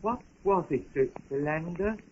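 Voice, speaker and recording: A gallant French lieutenant talks in a high voice with a French accent, courteous and musing even before a fight. It is 1930s radio drama, muffled and faintly hissy. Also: male voice, a little slow. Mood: wary, courteous. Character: courteous French naval lieutenant, wryly ready for battle.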